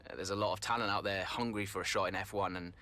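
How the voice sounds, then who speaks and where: A man's voice: low-pitched, steady tone, fast talker, background noise, lightly acted. A man playing a good-natured young racing driver in a video game, talking in a hesitant, unassuming, conversational way. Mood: friendly, hopeful.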